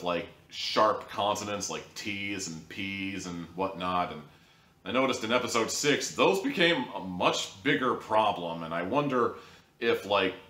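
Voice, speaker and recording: A low-pitched man with a bright, forceful edge talks through a frank, meandering critique, leaning on "like" and "you know." He sits away from the mic in an echoey room. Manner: candid, rambling, full of "like" and "you know". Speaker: audio drama reviewer. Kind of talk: casual spoken review. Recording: roomy echo, voice distant from mic.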